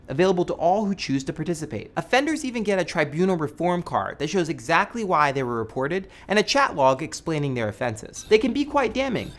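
An American man in his thirties delivers a scripted video essay to camera as a host, with an emphatic, wry, conversational delivery. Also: sits high. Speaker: web show host. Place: small studio set indoors. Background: music bed.

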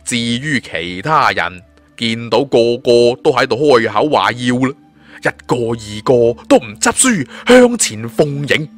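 A man's voice: medium pitch, very animated, a little slow, soft background music. A man with a Cantonese accent narrating a fantasy audiobook in a colloquial, dramatic storyteller's manner.

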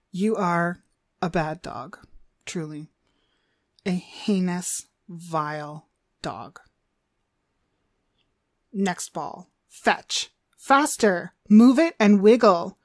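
A woman playing a cruel, domineering demonic dog trainer in an ASMR roleplay, haughty and scolding with drawn-out emphasis. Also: medium pitch, no room sound, studio quality. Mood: disgusted, scornful, then impatient, commanding.

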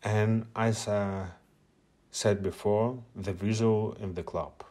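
A man's voice: lowish voice, steady tone, slow, mellow. A man, a non-native English speaker whose first language is Russian, giving a hesitant screen-share tutorial at a meetup with many filler sounds. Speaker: media artist presenting at a meetup.

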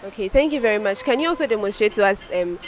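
A woman's voice: medium pitch, animated, quick pace, slight background noise. Young woman interviewing on the street. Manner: polite, switches between English and Twi. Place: outdoors at roadside shops. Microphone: handheld mic.

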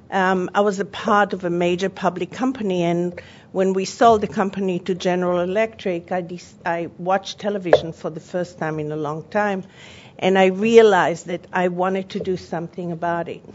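A woman with a foreign accent, born in Israel and raised in Europe and Australia, giving an earnest, personal talk as a panelist and television network owner. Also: lowish voice. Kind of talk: panel presentation. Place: conference room at a workshop. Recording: dull lo-fi conference audio with faint hum.

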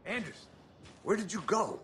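An actor playing a steady, wary male special agent in a video game, sounding puzzled and speaking in an unhurried way. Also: high voice. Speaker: FBI agent. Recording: roomy echo, faint noise.

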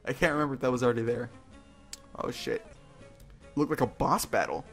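A man's voice: mid-range voice, sing-song, fairly quick. Jokey man commentating a game over music. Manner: jokey, self-deprecating, informal with mild swearing. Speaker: gaming video host. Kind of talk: casual gameplay commentary. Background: video game music.

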